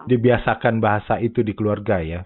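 A man's voice: fairly low pitch, a little fast, slightly rough. A man hosting a podcast in casual chat, listening closely and dropping in frequent short affirmations. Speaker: podcast host. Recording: tinny phone line.

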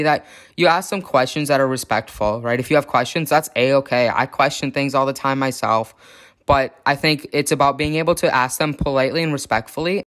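A young man from the Chicago area speaks straight to his viewers in a relaxed personal vlog. His voice is smooth and resonant, and it sits at a middle pitch. He is open and upbeat, with a self-deprecating sense of humor, and his voice is in the middle of changing, so it cracks now and then. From Chicago area, USA. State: voice changing on testosterone, occasional cracks. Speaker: vlogger.

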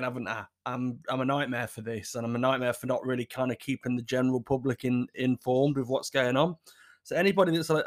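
A man in his thirties from England hosting his own podcast, talking casually in a rambling, apologetic way with a scratchy, sore throat. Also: mid-range voice. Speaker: podcast host. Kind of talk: casual solo podcast chat.

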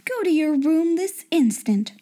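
A woman's voice: high-pitched, animated, a little slow, dark tone, voice pushed up. A woman voicing a stern, angry headmistress who scolds in clipped tones. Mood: scolding.

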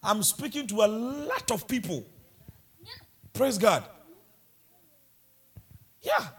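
A man's voice: sits high, wildly swooping pitch, slow, husky. A man from Ghana with a Ghanaian accent, preaching a sermon and mixing in humorous asides. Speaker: pastor.

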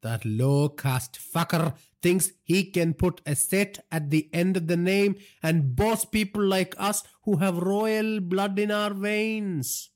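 A man voicing a hot-tempered older Indian crime boss father in an audiobook, gruff, coarse and domineering. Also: high voice, lively intonation, a little slow, voice pushed up. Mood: scornful, irritated. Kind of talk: audiobook character dialogue.

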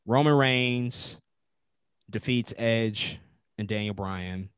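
A man's voice: lowish voice, takes it slowly, clear, resonant tone, no room sound, clean studio sound. Laid-back man giving his take. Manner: relaxed, thoughtful, with fillers. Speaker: wrestling podcast co-host. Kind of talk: casual chat.

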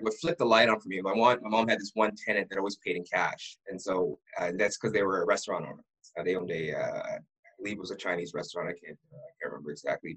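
A man's voice: low voice, a bit bright, husky, rough recording. A man from Ottawa, a real estate broker who is a podcast guest, telling his backstory in a rambling way with many restarts. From Ottawa, Canada. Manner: rambling storyteller who often restarts words. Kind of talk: casual podcast interview.

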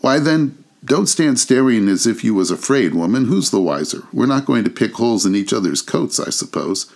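A man voicing a bold, coarse charwoman in a storybook reading, rough-spoken and scornful. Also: medium pitch, big pitch swings, moderate pace, a little mellow, pitched above the performer's own voice. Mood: defiant.

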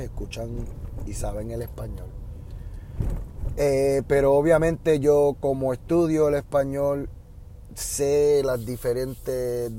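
A man with a Puerto Rican Spanish accent, a high school Spanish teacher giving casual advice on his podcast, often tagging on "¿verdad?" and pausing with "eh". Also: slight background noise. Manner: relaxed, fills with "¿verdad?" and "eh".

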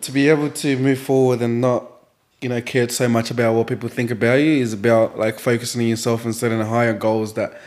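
A man's voice: medium pitch, a little fast. Man, grew up in Africa, podcast guest, casual chat, easygoing, lots of "you know". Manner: relaxed, advice-giving, many fillers like "you know".

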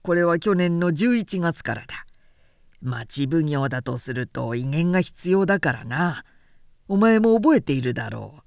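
Woman acting a pompous, long-winded samurai man, pitched low. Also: lively intonation, a little slow, creaky, a little mellow, no room sound, clean studio sound, exaggerated voice. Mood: pompous, rambling. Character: garrulous self-important middle-aged samurai man, elder brother.

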